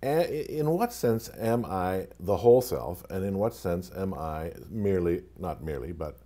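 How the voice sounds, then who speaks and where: A man with a deep voice asks questions in a relaxed, casual way. His tone is dark and mellow. He hesitates often and trails through fillers. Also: unhurried. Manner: hesitant, with fillers and light laughs. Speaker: interviewer asking questions. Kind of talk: casual conversation.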